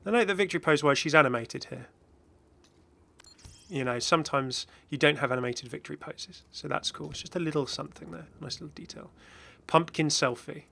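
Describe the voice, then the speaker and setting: A man hosting a gaming video with casual, excited reaction commentary in a rambling, exclamatory way. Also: on the high side, a little fast, creaky. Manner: rambling, exclamatory, chuckling asides.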